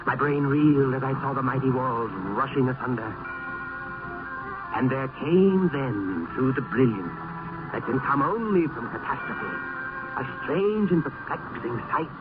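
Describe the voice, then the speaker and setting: An actor playing a grave philosophical friend and narrator in a vintage radio play, with solemn, florid, oratorical delivery. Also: male voice, mid-range voice, expressive, measured pace, music in the background, natural voice. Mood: aghast, dramatic. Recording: tinny, noisy old radio recording.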